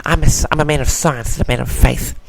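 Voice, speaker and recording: A man's voice: medium pitch, fairly even intonation, fast, gravelly, noisy background. A man hosting a casual audio log, reading out audience questions and answering them in a theatrical, mock-grand manner.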